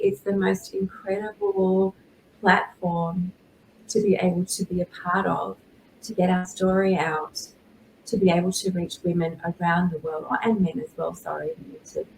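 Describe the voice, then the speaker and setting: Woman from Australia, guest coach, slow earnest delivery, roomy echo. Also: lowish voice, slight background noise. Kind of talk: interview answers.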